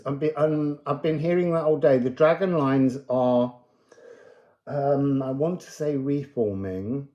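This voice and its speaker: A man's voice: mid-range voice, warm tone. A man giving an unscripted spiritual card reading to viewers, musing and wandering from thought to thought. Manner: musing, digressive, breaks into chanted phrases.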